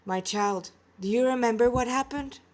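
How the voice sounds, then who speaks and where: A woman voicing a gentle, stately male sage in a storybook reading. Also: on the high side, moderate pace, close to an everyday voice. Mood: concerned. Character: glowing male sage, kindly, serene, mirthful.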